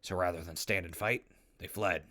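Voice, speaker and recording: A man's voice: low-pitched, steady tone, moderate pace, pushed and bright. A man playing a wry, easygoing ghoul who narrates a post-apocalyptic audio drama in a dry, casual way. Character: wry, easygoing, irreverent ghoul wanderer narrating his journey.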